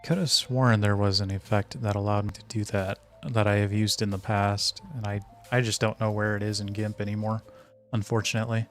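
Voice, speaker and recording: Low-pitched man thinking aloud on stream. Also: level tone, a little fast. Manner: thinking aloud, hesitant and self-directed. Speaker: streamer, indie game developer. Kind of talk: casual live stream chat while working. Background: background music.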